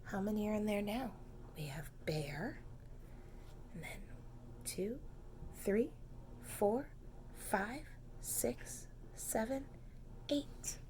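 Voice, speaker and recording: A woman teacher reading a picture book aloud to preschoolers, gentle and unhurried, dropping to soft whispers and inviting the children to join in. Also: medium pitch, a little hiss or hum.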